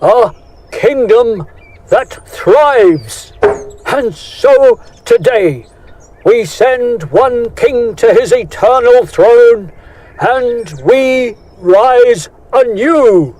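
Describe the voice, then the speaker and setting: A man's voice: very high voice, animated, extremely slow, darkish tone, heavily acted. A man playing a proud, hardline new king in an audio drama, giving a solemn, declamatory oath and speech. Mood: solemn, resolute.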